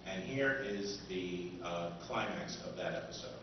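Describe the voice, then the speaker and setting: A man giving an informal seminar talk as a speaker, halting and pausing often. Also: low-pitched, far from the mic. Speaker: seminar speaker, old-time radio author. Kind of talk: informal public lecture. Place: indoor convention seminar room. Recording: muffled, noisy, echoey room from afar.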